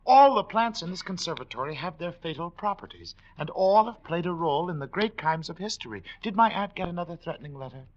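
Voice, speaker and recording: An actor playing a wasted, morbid invalid obsessed with poisons, lecturing with theatrical relish in 1940s radio style. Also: male voice, high voice, expressive, brisk, few pauses. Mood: querulous. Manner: theatrical, eager, relishing his macabre lectures. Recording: dull, lo-fi 1940s radio recording.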